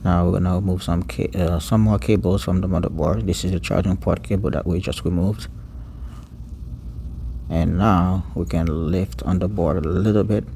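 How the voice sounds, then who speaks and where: Low, gravelly-voiced man giving repair instructions. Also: steady tone, mellow, slight background noise. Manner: halting, pausing mid-sentence, repeats words. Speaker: phone repair technician giving a tutorial. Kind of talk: unscripted step-by-step repair narration.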